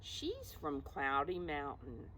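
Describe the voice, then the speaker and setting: A woman's voice: sits low, huge pitch swings, takes it slowly, fairly bright tone, a little hiss or hum, full character voice. A woman voicing a small, friendly young boy hare in a storybook, eager and earnest in short, simple phrases. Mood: pleased.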